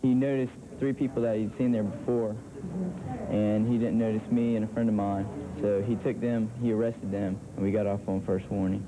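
Young male interviewee, flat low voice, noisy indoor recording. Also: normal speed. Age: young adult. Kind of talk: casual recounting in interview. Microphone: handheld interview mic.

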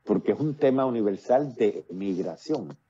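A man's voice: on the low side, expressive, normal speed, mellow, slightly rough, dull audio. A Puerto Rican man in his fifties speaking with a Puerto Rican accent, talking at length as a guest on a video call, with many fillers and restarts. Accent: Puerto Rican Spanish. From Puerto Rico. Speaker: playwright and actor, guest. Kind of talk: casual interview over video call. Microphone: earphones with built-in mic on a video call.

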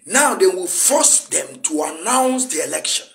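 A man's voice: high-pitched, very animated, measured pace, vocal fry, fairly bright tone. A Nigerian man in his forties whose first language is Igbo, giving an emphatic, lecturing political address to camera from a small room. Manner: emphatic, lecturing, gesturing a lot. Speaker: activist commentator.

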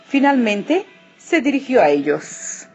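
A woman reads a children's story with dramatic, deliberate phrasing. Her melody swings widely and she takes her time, sounding a bit husky. The recording is slightly muffled with faint music behind. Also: on the high side, sing-song, darkish tone. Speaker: storybook reader. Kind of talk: expressive children's story narration.

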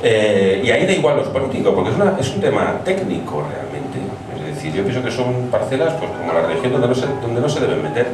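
A middle-aged man with a Spanish accent answering audience questions in a quick, conversational way that trails off. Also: mid-range voice, sing-song. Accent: Spain. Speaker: guest speaker. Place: small pub stage. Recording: echoey pub from far off, noisy.

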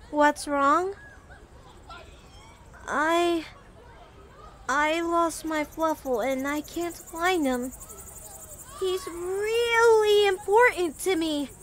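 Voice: very high-pitched, fairly bright tone, full character voice. An actor voicing an innocent, polite little orphan boy with a childlike, earnest manner in an audio roleplay. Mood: worried, pleading.

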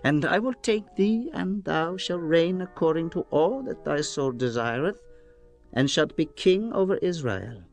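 A bold, commanding figure in an audio scripture drama. He declaims archaic words in a high, widely swinging voice with music behind. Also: expressive, a little slow. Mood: solemn. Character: bold, commanding man making solemn pronouncements.

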